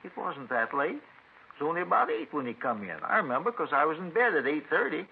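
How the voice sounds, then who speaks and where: A man playing a folksy, stubborn old father in a 1950s radio crime drama, gravelly and rambling. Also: on the high side, sing-song, brisk, slight background noise. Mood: rambling, insistent. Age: older. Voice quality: gravelly, husky. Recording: muffled vintage radio recording.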